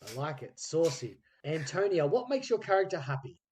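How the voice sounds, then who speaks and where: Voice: mid-range voice, very animated, normal speed, a little mellow, slight background noise. A podcast interviewer with a middle-range voice. The delivery is casual and informal, with an encouraging way of putting questions to guests.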